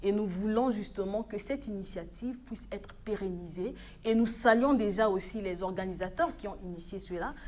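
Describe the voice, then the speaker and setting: A woman's voice: medium pitch, a little mellow. Earnest Congolese woman on a TV news set. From Republic of the Congo. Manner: earnest, exhorting, with hesitant restarts. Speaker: studio guest, African Union official. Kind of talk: TV news studio interview. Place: TV studio.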